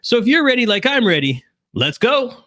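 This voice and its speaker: A man hosting a talk show in an upbeat, casual, animated conversational way. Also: very high voice, normal speed. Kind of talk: upbeat casual interview chat.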